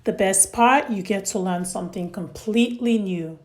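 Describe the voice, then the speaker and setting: A woman reads a story aloud for small children, speaking at a middle pitch in simple, deliberate phrases. She addresses young listeners directly as host and narrator. There is some noise in the recording.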